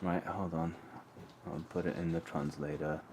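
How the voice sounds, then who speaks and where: A man's voice: deep voice, flat delivery, normal speed. A man from Poland hosting a casual live stream, chatting in a rambling way and humming to himself as he works. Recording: loud background noise.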